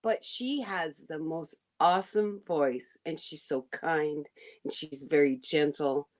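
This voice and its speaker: A woman from Alabama chatting as a podcast co-host, excitable and gushing. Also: deep voice, slow. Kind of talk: casual chat.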